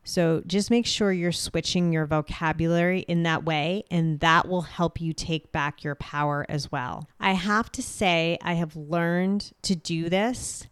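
Woman podcaster w a booming voice, low-ish and super smooth, dry close sound. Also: steady tone, unhurried, hardly any pauses, dry recording. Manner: direct, speaks clearly with authority. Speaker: podcast host. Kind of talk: solo podcast talk.

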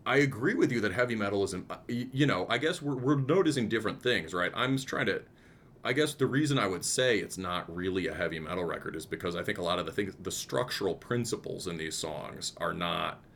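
A man's voice: lowish voice, lively intonation, brisk, a bit bright. A man in casual conversation, thinking aloud as he picks apart songs, restarting his sentences with lots of "um"s. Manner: thoughtful, restarts often, many ums, hums riffs. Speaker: podcast co-host discussing music.

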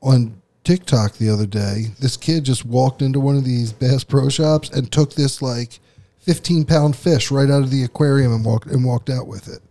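A man's voice: medium pitch, moderate pace, mellow, a little hiss or hum. A man co-hosting a podcast, chatting in a rambling, easygoing way. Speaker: podcast co-host. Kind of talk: casual chat.

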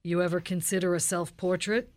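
A woman playing a deadpan, dogged hard-boiled police detective in a comic radio play, speaking in a clipped way. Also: fairly low pitch, a little fast, lightly acted. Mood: dry, official. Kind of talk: Dragnet-style comic radio play acting.

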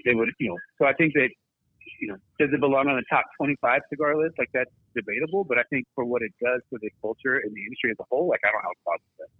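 Husky guy chatting as a panel guest, thin phone-like sound. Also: mid-range voice, rapid-fire, dry recording. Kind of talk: casual commentary. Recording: tinny narrow phone-like line.

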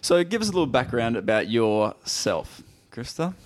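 A man's voice: mid-range voice, sing-song, normal speed, no room sound. Dry-sounding man hosting. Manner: warm, encouraging, laughs often. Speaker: podcast host. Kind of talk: casual interview chat.

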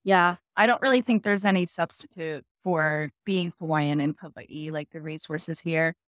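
Low-voiced woman speaking casually on a panel. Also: normal speed, no room sound, studio quality. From continental United States. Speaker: panel guest.

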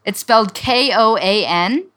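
A woman's voice: mid-range voice, unhurried, bright, forceful tone. A young woman co-hosting a podcast, chatting casually as she summarizes a book, with lots of fillers and restarts. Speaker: podcast co-host.

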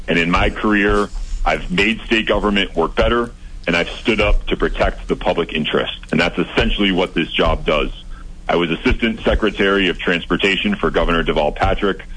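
A man calling into a radio show as a candidate, delivering a polished, confident campaign pitch. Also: sharp tone, poor audio.

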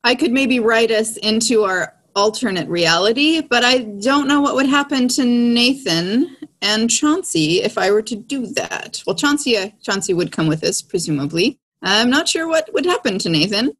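A woman's voice: fairly high pitch, expressive, normal speed, a bit bright, low-quality recording. A woman playing a tabletop role-playing game, talking casually and describing what her character does.

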